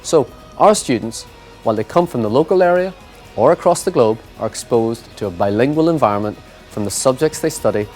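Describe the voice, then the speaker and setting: A man's voice: mid-range voice, lively intonation, a little mellow. A man giving formal, scripted narration for a school promotional video. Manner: formal, measured reading. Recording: music bed underneath.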